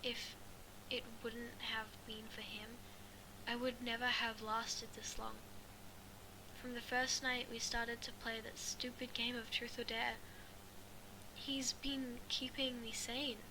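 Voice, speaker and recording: A woman reading a story aloud as an amateur, halting and stumbling over her words. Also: on the high side, little pitch movement. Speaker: fan fiction reader. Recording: clearly noisy amateur recording.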